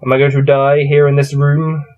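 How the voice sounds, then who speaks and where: A man reading a gamebook aloud and adding low-key, wry muttered commentary as he plays. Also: completely flat delivery, moderate pace, clean tone, lo-fi sound, faint music behind. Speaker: solo gamebook player and reader.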